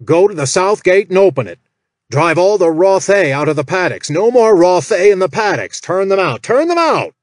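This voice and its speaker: A bellowing orc overseer barks orders and repeats himself, as a man reading a storybook puts him on. The voice sits high and loud for a brute, shouting in bursts. The sound is dry and close. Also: very animated, moderate pace, no echo. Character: roaring bossy orc overseer imitation, male.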